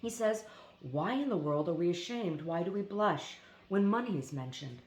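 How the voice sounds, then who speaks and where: A woman reading a philosophy essay aloud in a deliberate, emphatic way, now and then stumbling on foreign names. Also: on the low side, expressive, a little mellow. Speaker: reader. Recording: echoey room.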